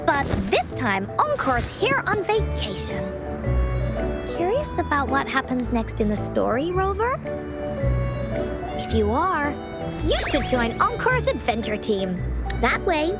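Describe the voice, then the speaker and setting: A woman voicing a cheerful, excitable little girl adventurer in a video game, playful and bubbly. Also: high voice. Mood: eager, warm. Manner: refers to self in third person, bubbly. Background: music.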